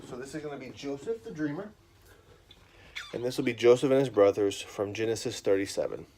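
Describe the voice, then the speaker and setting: A man's voice: mid-range voice, normal speed, long pauses, a little hiss or hum. A man in his thirties hosting a bedtime story livestream, chatting casually with lots of fillers and then reading aloud. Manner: relaxed, rambling, lots of fillers.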